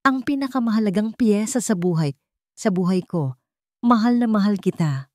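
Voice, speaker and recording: A woman voicing a gentle working-class single father in a Filipino drama story, speaking earnestly. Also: mid-range voice, animated, moderate pace, no room sound, clean studio sound, pitched above the performer's own voice, lightly acted. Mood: tender. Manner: earnest, heartfelt vow-making.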